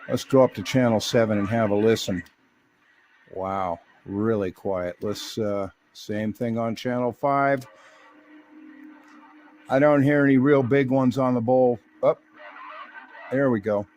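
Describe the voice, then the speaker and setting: A man casually reviewing and testing a vintage radio in his workshop, relaxed and peppered with filler words. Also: medium pitch, unhurried, pauses often, a little hiss or hum.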